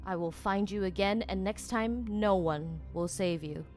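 A woman's voice: mid-range voice, measured pace, a little mellow, soft background music, close to an everyday voice. A woman playing a cruel, taunting assassin for hire in an audio drama, speaking with menacing deliberation.

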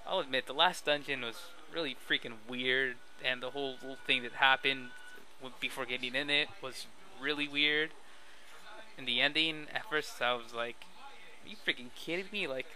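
Young man, very bright voice, noisy recording. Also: medium pitch. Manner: slangy, informal, self-deprecating. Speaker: video creator addressing subscribers. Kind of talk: casual rambling vlog voice-over. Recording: steady background noise, amateur recording.

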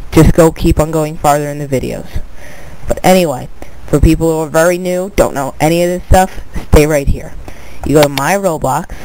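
A man's voice: fairly high pitch, a little mellow, poor audio. A young man with a stuffed-up nose, casually talking viewers through a screen-recorded tutorial, rambling and restarting his words. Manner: rambling, repeats "but anyway", restarts words. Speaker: tutorial video maker.

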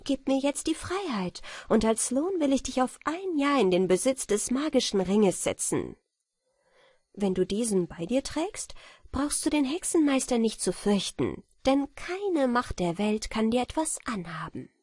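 Female reader as a talking magpie, storybook, defiant, bargaining, breathy rasp, insistent delivery. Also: on the high side, sing-song, measured pace, darkish tone, no echo, studio quality, voice pushed up, full character voice. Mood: urgent, warning. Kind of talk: fairy-tale audiobook character acting.

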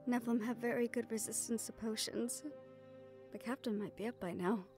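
A woman's voice: on the high side, fairly even intonation, moderate pace, faint music behind, lightly acted. A woman playing a shy, sweet, self-conscious young nephilim woman in love, speaking hesitantly and tenderly in a romantic audio roleplay. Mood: affectionate, shy. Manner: hesitant, tender, breathy hushed passages.

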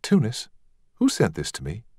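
A man's voice: fairly low pitch, wildly swooping pitch, normal speed, a little mellow, husky, no echo, clean studio sound, pitched above the performer's own voice, full character voice. A man voicing a genteel, curious young Southern woman in an audiobook, politely questioning. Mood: insistent, curious.

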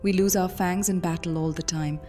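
A woman's voice: on the low side, fairly even intonation, moderate pace, mellow, lightly acted. A woman playing a motherly vampire commander, fond and teasing, in an audio drama. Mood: explaining, amused. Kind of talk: immersive audio drama roleplay. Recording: music behind the voice.

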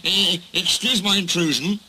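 A man as an earnest little engine, high-voiced. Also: sing-song, takes it slowly, background noise, full character voice. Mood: apologetic. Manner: deferential, hesitant. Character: earnest, polite, humble little male tank engine.

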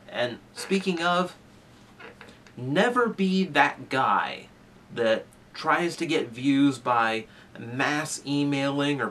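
A man's voice: medium pitch, a bit bright, recorded from a distance, slight background noise. A young man giving earnest advice straight to camera, talking conversationally with fillers and restarts while gesturing with both hands. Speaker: toy reviewer. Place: small room with shelves of toys, indoors.

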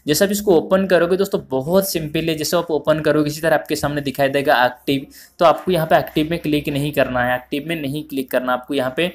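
Guy doing a casual phone how-to, voice a bit high, repeats steps, talks to viewers like friends. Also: fairly even intonation, fast, few pauses. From India. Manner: repetitive, step-by-step explaining, addressing viewers as friends. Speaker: tutorial host. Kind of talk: informal phone app tutorial narration.

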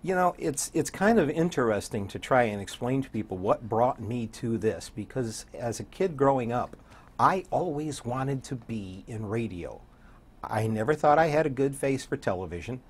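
An older man around seventy, a radio DJ chatting as an interview guest in a folksy, wry way with a husky voice. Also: mid-range voice, animated, moderate pace. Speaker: guest, radio program director and DJ. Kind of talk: casual interview chat. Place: TV studio set, seated.

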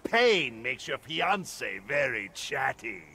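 An actor playing a gleeful, sadistic clown villain in a video game, theatrical, mocking and sing-song. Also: male voice, mid-range voice, big pitch swings, slow pace, very noisy. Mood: gleeful menace. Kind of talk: video game character dialogue.

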